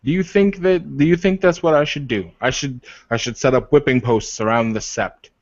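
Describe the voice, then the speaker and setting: A man's voice: mid-range voice, normal speed, no room sound, natural voice. A man playing a proud, protective werewolf leader in improvised roleplay, arguing insistently with repeated phrases and pointed questions. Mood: incredulous. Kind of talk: improvised in-character roleplay dialogue. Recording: dull, lo-fi voice recording.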